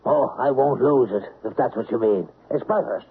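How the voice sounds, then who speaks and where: An actor playing an irritable, gruff middle-aged burglar and father, grumbling and wisecracking bluntly in old-time radio crime drama style. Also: mid-range voice, moderate pace, lightly acted. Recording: narrow-band hissy old radio recording.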